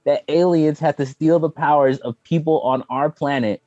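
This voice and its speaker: A man co-hosting a casual podcast, bantering in animated, exclamatory slang and acting out reactions. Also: on the high side, moderate pace, no room sound, dull audio. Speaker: podcast co-host. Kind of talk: casual banter about movies and sports.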